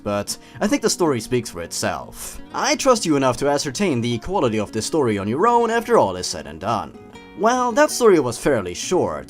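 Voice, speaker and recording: Male online story narrator, sarcastic and highly animated, mock-dramatic delivery over background music. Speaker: YouTube creepypasta reader and commentator. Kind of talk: scripted commentary and dramatic story reading.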